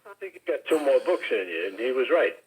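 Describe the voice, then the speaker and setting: A man's voice: medium pitch, big pitch swings, fast talker, rough recording. A man on talk radio, heard as it plays through a small radio speaker.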